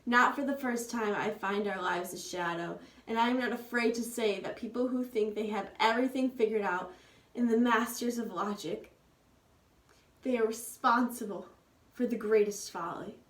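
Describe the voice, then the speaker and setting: A young woman giving a loud, urgent, expressive dramatic reading of a tragedy. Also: a little high, moderate pace. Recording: echoey room, distant mic.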